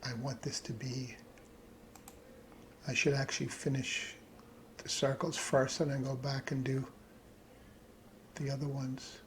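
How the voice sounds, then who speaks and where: A man's voice: medium pitch, a little slow, long pauses. A man giving a casual tutorial narration, thinking aloud as he works at his computer. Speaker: hobbyist presenter. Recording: steady background noise, keyboard clicks.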